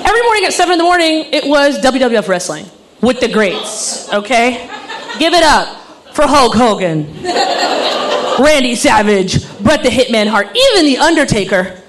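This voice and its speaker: A woman who grew up in Alabama, performing animated, self-deprecating stand-up comedy. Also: high voice, normal speed, a crowd in the background. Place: comedy club stage. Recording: noisy live club recording.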